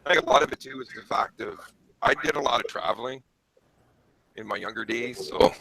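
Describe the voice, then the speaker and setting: Gravelly Canadian man with a lively voice. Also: mid-range voice, a little fast, poor audio. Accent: light Canadian, mixed from travel. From eastern Canada, Acadian French. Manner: easygoing, thinks aloud. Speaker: channel host. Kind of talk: casual chat.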